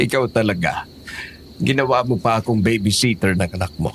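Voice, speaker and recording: A man's voice: lowish voice, moderate pace, a little hiss or hum, natural voice. A man playing a teasing, protective old grandfather in an audio horror drama. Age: older. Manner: jokey, fond, cautioning.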